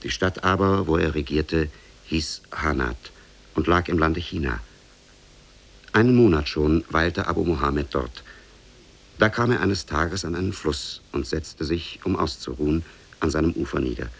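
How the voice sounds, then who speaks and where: An actor as the grave storyteller of an Arabian tale, narrating formally and evenly for a 1950s radio drama. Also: male voice, rumbling low, vocal fry, slightly rough, full character voice. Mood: grave, measured. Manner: measured, formal.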